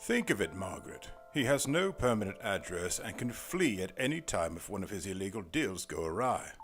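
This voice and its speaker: A man plays a courteous, grave male detective in an audio drama. His speech is precise and old-fashioned, with a low voice that moves through a lively range. Faint music sits behind him. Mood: formal, grave. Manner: formal, measured, old-fashioned wording.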